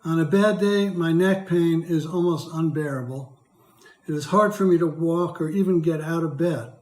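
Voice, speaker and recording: Retired older man, hoarse and dry-voiced, reads his testimony carefully; roomy echo. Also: a little high, normal speed, darkish tone. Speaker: patient speaker. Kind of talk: prepared testimony read aloud.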